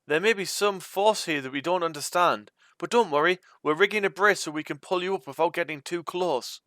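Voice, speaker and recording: A man voicing an excited, hearty geologist on a polar expedition in a storybook. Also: sits high, brisk, no echo, studio quality, voice pushed up. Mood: reassuring, calling out. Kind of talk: storybook character voice.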